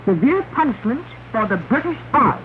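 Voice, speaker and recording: A woman reads propaganda news over a thin, hissy 1960s shortwave broadcast. Her delivery is formal and declamatory, each phrase set out deliberately. Her pitch moves in wide, emphatic swings. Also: very animated. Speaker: radio news announcer. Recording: scratchy 1968 shortwave radio, narrow band, hiss.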